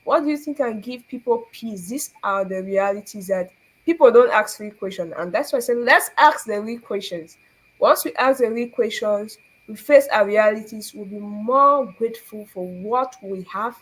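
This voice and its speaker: A woman's voice: medium pitch, normal speed, breathy edge, rough recording. A young Cameroonian woman hosting a faith talk, earnest and preachy, asking rhetorical questions and laughing often. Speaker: show host.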